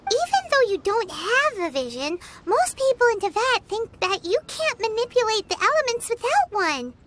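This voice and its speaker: Tiny excitable game companion, very high voice, lively swoops, third-person chatter. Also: female voice, noisy background. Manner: talks of herself in third person.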